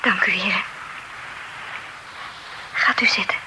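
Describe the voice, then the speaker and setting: An actress playing a dignified young heiress in an old radio play, speaking softly and measured, often close to a whisper. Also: female voice, mid-range voice, noisy background, close to an everyday voice. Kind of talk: old radio drama acting.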